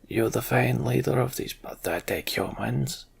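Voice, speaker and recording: A man voicing a battle-scarred giant warrior commander with a gruff, challenging manner for a fantasy game. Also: mid-range voice, measured pace, full character voice. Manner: brusque, challenging.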